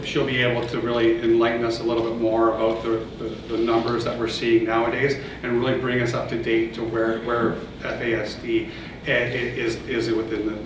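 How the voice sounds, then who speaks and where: A Canadian man gives a talk in a large conference room, his even, flat delivery full of ums and restarts. He sounds set back from the mic, and the hall's echo and steady noise surround him. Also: medium pitch, far from the mic. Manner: hesitant, many fillers and restarts. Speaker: presenter. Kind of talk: conference presentation with audience Q&A. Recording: echoey hall, voice from off-mic, noisy.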